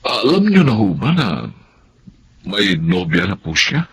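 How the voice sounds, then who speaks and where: A man playing a concerned, chatty young Filipino friend in a radio drama, expressive and full of exclamations and questions. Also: lowish voice, measured pace, husky, heavily acted. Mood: polite, concerned. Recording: faint background noise and ambience.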